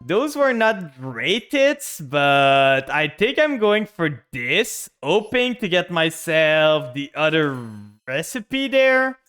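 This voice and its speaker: A man's voice: fairly high pitch, very animated, slow, hardly any pauses, a bit bright, clear, resonant tone, soft background music. A man with a French-Canadian accent, streaming a card game and talking his moves through with chat. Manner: thinks aloud, narrating each play to chat. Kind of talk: casual game stream commentary.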